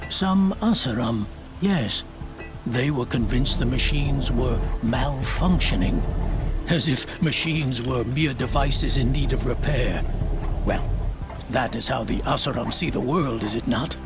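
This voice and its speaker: A solemn male shaman played by a man from a video game, speaking very slowly with ritual care. His delivery is reverent and poetic, as if honoring spirits, over clear background music. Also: medium pitch, close to an everyday voice. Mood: calm, welcoming. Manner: measured, poetic, ceremonial speech. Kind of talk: dramatic video game dialogue. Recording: music behind the voice.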